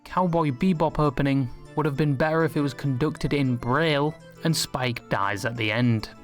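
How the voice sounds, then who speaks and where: A man's voice: on the high side, normal speed. A man delivering scripted comedic video narration in a crude, sardonic manner, as a video essayist. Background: background music.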